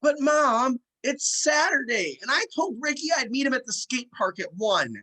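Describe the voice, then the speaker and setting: A woman's voice: high voice, animated, normal speed, sharp tone, breathy edge, exaggerated voice, low-quality recording. A woman playing a whiny, imaginative fourth-grade kid in an amateur radio play. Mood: whiny, sulky. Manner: whiny, groaning, dramatic.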